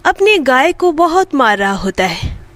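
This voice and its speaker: A woman's voice: high voice, sing-song, measured pace, a bit bright, no room sound. A woman narrating a scripted children's bedtime story as the storyteller.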